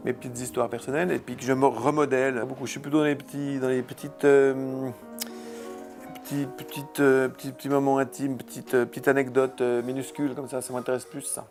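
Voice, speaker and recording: A comics artist, a man talking casually in an interview, halting with many fillers and restarts. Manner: halting, full of fillers and restarts, low-key. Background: clear background music.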